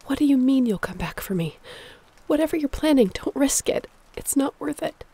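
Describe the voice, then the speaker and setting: A woman's voice: high-pitched, expressive, moderate pace, warm tone. A woman playing a sick, protective, selfless apocalypse survivor in an audio roleplay, weary, earnest and pleading. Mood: anxious, tender.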